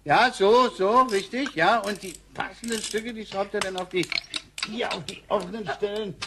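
An actor playing a kindly former scientist from the future in a radio play, explaining things hesitantly. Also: male voice, high voice, moderate pace, noisy background, lightly acted. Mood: eager, explaining.

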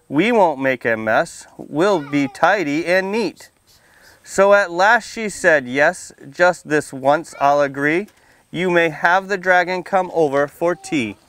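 A man in his thirties with a smooth, fairly high voice speaks to small children at an outdoor storytime. He is friendly and plain-spoken, like a visiting firefighter educator, and often turns to the children with questions. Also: slow pace, fairly bright tone. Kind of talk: read-aloud storytime and casual talk to young children. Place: outdoors under a canopy on a library lawn.

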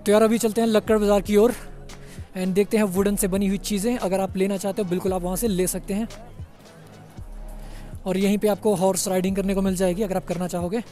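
Brief: young man vlogging outdoors, high voice but mellow tone, easygoing and chatty. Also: flat delivery, a little fast, faint music behind. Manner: chatty, says "yaar" a lot, mixes in English. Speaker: travel vlogger. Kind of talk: casual walking tour commentary. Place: outdoors on a busy hill-town street.

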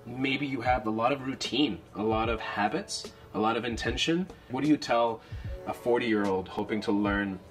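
A man's voice: mid-range voice, moderate pace, faint music behind. A man, perhaps in his thirties, hosting a casual conversational interview. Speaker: interviewer, host. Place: small bright living-room style set, indoors.

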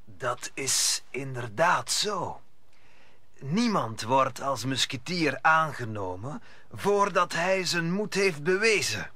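An actor playing a stern captain of the musketeers in a radio drama, formal and authoritative. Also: male voice, a little high, sing-song, slow, sharp tone, no echo, full character voice.

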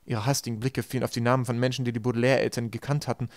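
A man narrates an audiobook in a medium-pitched voice. He reads the scripted prose at a brisk clip, and the sound is close and dry. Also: flat delivery, no room sound.

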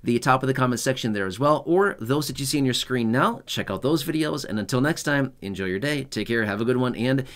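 A man's voice: mid-range voice, fairly quick, hardly any pauses. A man in his forties hosting a talking-head explainer vlog from a small home studio, chatty and reassuring, often tagging sentences with "okay?" and "right?". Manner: conversational, keeps checking in with "okay?" and "right?"; repetitive, reassuring, animated delivery. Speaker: YouTube channel host. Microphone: fixed camera at chest-up framing.

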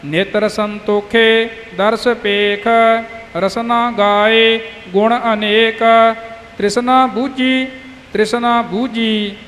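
High voiced guy chanting scripture really slowly, long echoey hall sound. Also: fairly even intonation, a bit bright. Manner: measured, half-sung phrasing. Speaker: student reciting scripture. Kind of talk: slow, chanted Sikh scripture recitation. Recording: long hall reverb, music.

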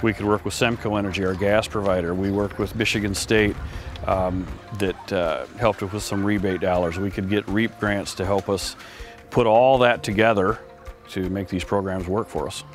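A man's voice: sits low, steady tone, moderate pace, faint music behind. A man who farms, giving a testimonial in an interview. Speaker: farmer.

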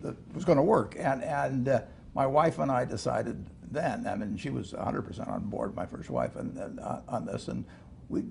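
An older man talking as an interview guest, halting with many restarts and chuckles, in a studio set like a living room. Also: medium pitch, vocal fry, a little mellow, slight background noise. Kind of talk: casual interview talk.

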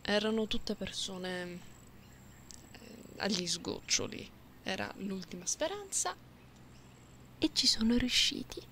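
A woman voicing a playful, caring young catgirl in an intimate, soft ASMR roleplay. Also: medium pitch. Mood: earnest, upbeat. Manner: soft, unhurried, intimate, sometimes whispery. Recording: binaural close mic, audible background noise.

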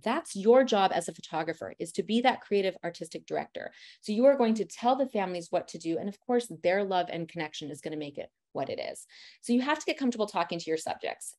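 Woman, 30s, photography teacher, loud voice, talkative, direct, encouraging, middle pitch. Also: fast. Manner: energetic, direct, encouraging; says she talks a lot and loudly. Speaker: family photographer teaching posing. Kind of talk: presentation lecture.

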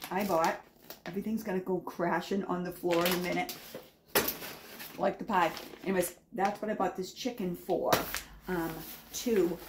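A woman's voice: lowish voice, heavy background noise. A woman in her fifties chatting casually to camera in her kitchen, rambling a little and repeating herself as she goes. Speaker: home vlogger showing a grocery haul. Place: home kitchen, indoors.

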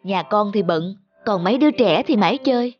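A woman's voice: medium pitch, expressive, a little fast, muffled recording, soft background music, close to an everyday voice. A woman playing a kind, dutiful young mother in a cartoon, with a polite, soothing way of talking. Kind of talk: animated cartoon dialogue.

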